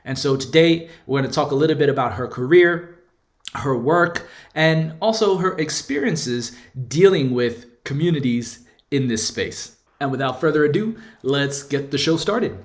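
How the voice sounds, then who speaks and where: A man's voice: fairly high pitch, lively intonation, normal speed, roomy sound. A man hosting an interview podcast, upbeat and affirming toward his guest. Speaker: podcast host.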